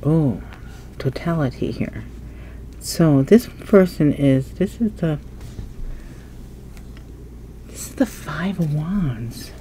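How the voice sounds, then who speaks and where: A woman's voice: sits low, animated, slow, pauses often, dark tone, slight background noise. A woman with a stuffy nose giving a casual tarot reading, musing aloud as she turns cards at a table. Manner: musing, thinking aloud while turning cards. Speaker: tarot reader. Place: indoors at a table, hands only in frame.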